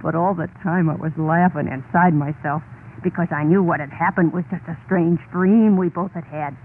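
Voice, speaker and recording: An actress playing a haunted, unhinged wife confessing to murder in an old radio horror play, intense and halting, insistently repeating herself. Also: female voice, on the low side, fairly even intonation, lightly acted. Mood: gloating, unhinged. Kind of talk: old-time radio horror monologue, dramatic first-person narration. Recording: tinny, band-limited old radio recording, faint noise.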